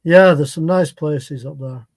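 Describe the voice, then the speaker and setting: A man's voice: on the high side, a little slow, dry recording. A man from Scotland living in England, chatting easily on a video call and often repeating himself. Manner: easygoing, repeats himself, agreeable. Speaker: guest. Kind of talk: casual chat.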